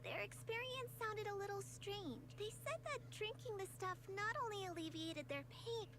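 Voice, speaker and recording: Casting: earnest young girl investigator, extremely high register, expressive and hesitant, game dialogue, humming noise bed. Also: moderate pace. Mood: uneasy, puzzled. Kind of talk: video game voice acting.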